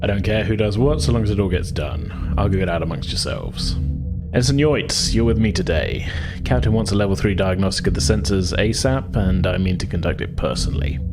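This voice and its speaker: A man's voice: low voice, lively intonation, fairly quick. A man playing an encouraging, informal starship commander in a science fiction audio drama, with music behind him. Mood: encouraging, authoritative.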